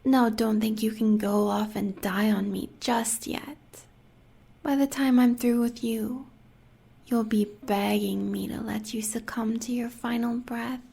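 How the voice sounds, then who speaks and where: A woman's voice: on the high side, steady tone, a little slow, gravelly, warm tone, slightly rough, full character voice. An American woman playing a sadistic, seductive huntress in an audio roleplay, taunting the listener in sultry half-whispers with cruel pet names. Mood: seductive menace. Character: sadistic seductive werewolf huntress, villain's lover.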